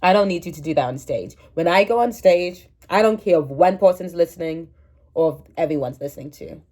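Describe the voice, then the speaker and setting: A woman's voice: fairly low pitch, expressive, brisk, darkish tone. A young woman in her twenties with a stuffy, congested voice, chatting candidly and rambling to her phone camera, laughing at herself. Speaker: vlogger. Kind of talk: casual confessional chat to camera.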